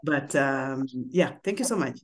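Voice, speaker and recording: A judge making a brief, warm comment over a video call, speaking quickly. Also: mid-range voice.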